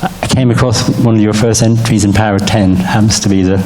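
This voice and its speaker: A man's voice: on the low side, fairly even intonation, fairly quick, warm tone, slight background noise, poor audio. A man hosting an on-stage interview at an evening event, chatty and jokey, laughing between phrases. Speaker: event host interviewing a runner. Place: evening event in front of an audience.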